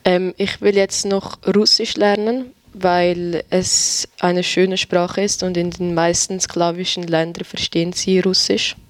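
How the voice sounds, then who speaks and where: Flat, even-voiced student presenter. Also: male voice, high-pitched, a little slow, hardly any pauses, dry recording. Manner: steady, even, recited delivery. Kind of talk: classroom presentation, read aloud.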